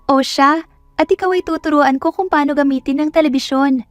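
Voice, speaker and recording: Woman performing a young female housemate, high voice, bubbly, exclaiming, friendly bossy, romance audio drama. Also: brisk, soft background music, lightly acted. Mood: chatty, instructive. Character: bubbly, kind, goofy young housemate woman, easily astonished.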